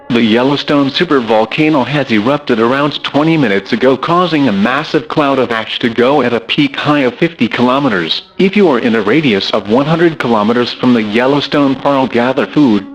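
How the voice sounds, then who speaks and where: Dull lo-fi male alert announcer. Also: mid-range voice. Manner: even, formal reading. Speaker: emergency broadcast announcer. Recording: dull lo-fi broadcast audio.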